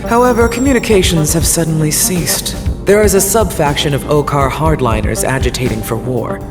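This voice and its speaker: A woman playing a shrewd, authoritative space fleet commissioner in a video game, with a measured, official delivery. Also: low voice, natural voice. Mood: concerned, businesslike. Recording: music under the voice.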